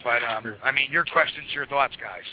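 A hoarse man hosting a casual call-in radio show, rambling with plenty of fillers and restarts. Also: mid-range voice, moderate pace, background noise. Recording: muffled lo-fi radio broadcast.

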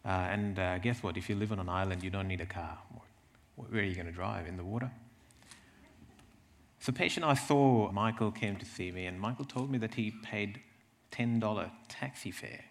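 Male clinician as conference speaker, deep creaky voice, emphatic storyteller who likes rhetorical questions. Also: normal speed. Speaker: clinician presenting at a health event. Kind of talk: conference presentation with anecdotes.

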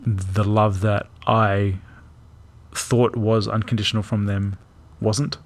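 A young man with a low, gravelly voice talks slowly in a casual podcast chat. He muses aloud, pausing and restarting his thoughts. His speech is thoughtful and searching. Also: level tone. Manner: thoughtful, hesitant, many ums and restarts. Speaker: podcast co-host.

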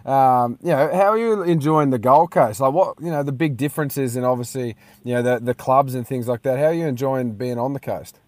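A man's voice: medium pitch, brisk, few pauses, darkish tone, no echo. A man co-hosting a radio show from his car, teasing and chatty with lots of fillers. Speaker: co-host, rugby league player. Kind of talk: casual radio chat.

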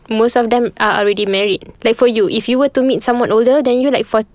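This woman sounds like she is in her thirties and speaks with a Malaysian English accent. She co-hosts a relaxed studio chat, pitched a little high, and drops Malay words into her sentences as she goes. The recording is dry and close. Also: fast talker, no echo. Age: 30s. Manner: chatty, mixes in Malay words. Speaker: podcast co-host. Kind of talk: casual chat.

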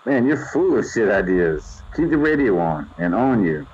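A man's voice: fairly low pitch, sing-song, normal speed, a little mellow. A man playing a cautious, sardonic buddy in an audio drama, talking sweary slang over a hand-held radio. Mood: exasperated. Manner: slangy, sweary, calls listener bro. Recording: dull radio sound, faint noise.

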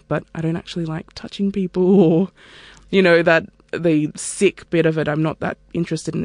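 This is a woman in her thirties, a scientist telling her own story in a relaxed, candid way. Her voice comes across close and dry, with no room sound around it. She talks things through reflectively, like she's thinking aloud. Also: sits low, gravelly, no room sound. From Australia. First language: Turkish. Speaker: microbiologist and research scientist. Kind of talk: interview monologue.

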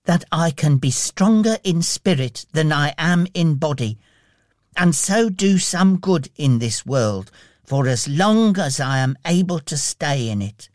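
A man voicing a frail, gentle, earnest little boy with a fragile voice in a storybook reading. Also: fairly high pitch, lively intonation, measured pace, dry recording, clean studio sound. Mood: earnest, hopeful.

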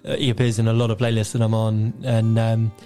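Male musician guest, dark mellow tone, laid-back and hesitant. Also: on the low side, brisk, soft background music. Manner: relaxed, hesitant, many fillers. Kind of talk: casual radio interview.

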